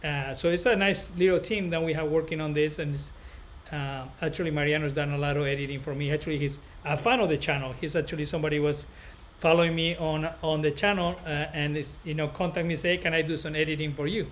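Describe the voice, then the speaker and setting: Higher-voiced Spanish-accented man, rambling live chat. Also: flat delivery, a bit bright, slight background noise. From northern Spain. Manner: rambling, halting, repeats himself. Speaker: barber educator and live stream host. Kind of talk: casual live stream chat with viewers.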